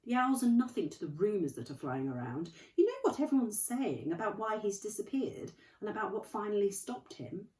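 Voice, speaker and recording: Stern, clipped older witch teacher, put on by a woman reading aloud, lifted high and lively, roomy recording. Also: close to an everyday voice. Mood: impatient. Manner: brisk, clipped and impatient. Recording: echoey room, distant mic.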